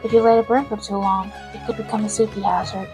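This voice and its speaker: A woman's voice: medium pitch, flat delivery, fast. A woman doing amateur video game voice acting in a polite, earnest manner. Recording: amateur recording with music behind.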